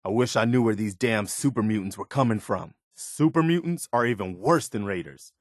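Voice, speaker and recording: A man's voice: lowish voice, expressive, normal speed, no echo, studio quality, natural voice. A man voicing an earnest, hopeful militiaman companion in a post-apocalyptic video game, speaking in a plain, wistful and wary manner. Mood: grim disgust.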